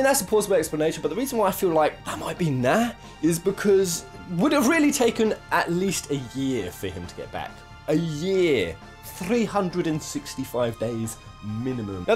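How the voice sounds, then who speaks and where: A man presents a casual, joking countdown commentary with a highly animated, mid-pitched voice. He leans on florid exaggeration and crude swearing for comic effect. Music plays underneath as he talks. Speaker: video host. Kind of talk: casual, jokey list commentary.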